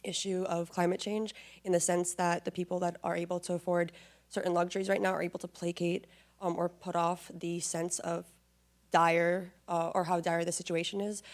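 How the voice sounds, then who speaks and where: A young woman asks a question from the audience. Her voice is lowish and very even in pitch. She speaks politely and in measured phrases. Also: vocal fry. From Morocco. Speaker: audience member asking a question.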